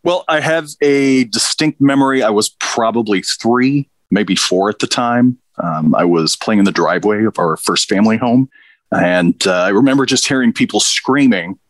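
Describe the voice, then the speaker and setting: A man's voice: fairly low pitch, normal speed, no echo. A man from Pakistan, a podcast guest, telling stories in a reflective way with dry humor. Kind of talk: relaxed interview conversation.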